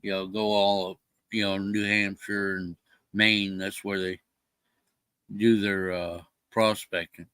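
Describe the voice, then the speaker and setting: A man's voice: fairly low pitch, little pitch movement, slow, bright, forceful tone, clean tone, dry recording. An older man chatting casually on a livestream, rambling with many fillers and restarts. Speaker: livestream co-host. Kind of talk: casual chat.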